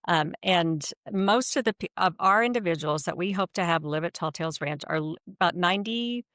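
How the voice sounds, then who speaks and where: A woman's voice: lowish voice, fairly quick, fairly bright tone, dry recording, studio quality. A middle-aged American woman giving conversational, explanatory answers as an interview guest, speaking earnestly. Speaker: nonprofit executive director, podcast guest.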